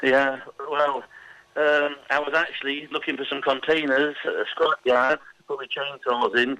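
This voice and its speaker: A man's voice: medium pitch, bright, forceful tone, dry recording, poor audio. A middle-aged man being interviewed on the radio, chatty and hesitant, with many ums. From England. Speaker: interview guest.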